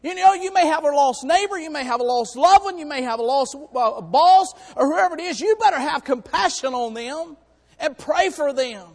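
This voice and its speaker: A hoarse man on church staff preaching a sermon to his congregation, in a folksy way that calls out to them. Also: very high voice, expressive, normal speed, long reverb tail. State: hoarse, has lost part of his voice. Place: church sanctuary.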